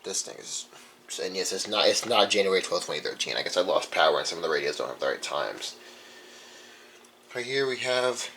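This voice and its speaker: A young man with a low voice talks informally, like a hobbyist showing off a collection. He rambles casually from item to item. There is some noise behind him in the room. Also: expressive, brisk, pushed and bright, low-quality recording. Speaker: radio collector. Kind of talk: casual show-and-tell vlog. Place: indoor room.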